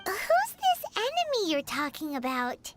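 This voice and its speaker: A woman voicing a small, childlike, excitable fairy companion in a video game, squeaky, naive and wide-eyed. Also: a little slow, heavy background noise, heavily acted. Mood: puzzled. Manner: wide-eyed, exclamatory, speaks of self in third person. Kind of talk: video game character acting. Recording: game audio with loud background sound.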